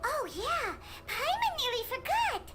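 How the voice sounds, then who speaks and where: A woman voicing a tiny, childlike, excitable fairy companion in a video game, with a squeaky, pushy, emphatic delivery. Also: measured pace, over loud music, heavily acted. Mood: chatty, explaining.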